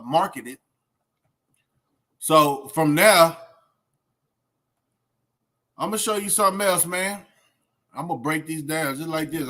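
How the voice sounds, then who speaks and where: A man's voice: fairly high pitch, bright, forceful tone. A middle-aged man giving informal reaction commentary in a colloquial, rambling, slangy way. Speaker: reaction video host.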